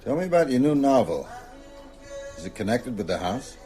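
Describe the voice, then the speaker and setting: A man's voice: mid-range voice, sing-song, normal speed, mellow, faint music behind, close to an everyday voice. An actor playing a sociable, shrewd older small-town man in an audio drama, conversational and probing. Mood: probing, uneasy. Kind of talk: naturalistic audio drama dialogue.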